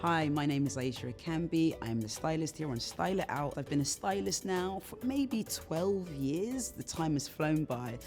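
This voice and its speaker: A woman stylist and judge speaking calmly and thoughtfully to camera. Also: low-pitched, moderate pace, few pauses, soft background music. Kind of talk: promo interview to camera.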